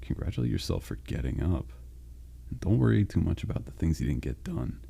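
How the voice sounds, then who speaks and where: A man voicing a caring male sleep paralysis demon, speaking softly, with halting reassurance, in a comforting roleplay monologue. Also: sing-song, exaggerated voice. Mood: soothing. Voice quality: deep gravelly growl. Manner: soft, reassuring, halting and unhurried.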